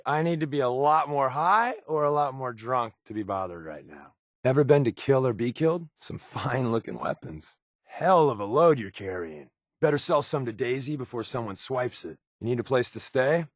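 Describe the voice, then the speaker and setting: An actor playing a wisecracking, laid-back undercover rebel agent in a video game, glib and sardonic in a conversational way. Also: male voice, mid-range voice, no room sound, studio quality. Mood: casual, streetwise. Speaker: video game companion character.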